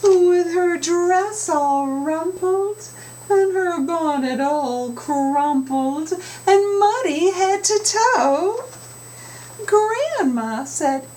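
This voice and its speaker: A woman author reading a picture book aloud in an expressive, sing-song, deliberate way. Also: extremely high pitch, a little hiss or hum. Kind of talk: animated picture-book read-aloud with audience participation.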